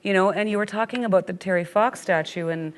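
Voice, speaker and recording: A woman's voice: mid-range voice, quick pace. A middle-aged woman from Canada, a guest in a conversation, speaking heartfelt and informal, often saying "you know". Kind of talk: conversational interview.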